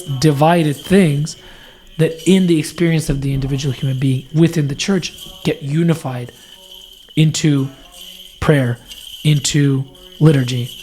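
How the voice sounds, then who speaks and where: A man's voice: a little high, a little mellow. A man with a scratchy voice, a deacon explaining church worship in earnest, thoughtful conversation, building his points through repetition. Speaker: deacon guest.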